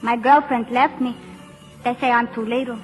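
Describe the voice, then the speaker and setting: Woman playing a high-voiced shy Mexican girl. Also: fairly even intonation, moderate pace, natural voice. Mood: shy, forlorn. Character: shy, naive, small Mexican village girl. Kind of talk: 1940s radio acting. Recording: reverberant old radio drama, music behind.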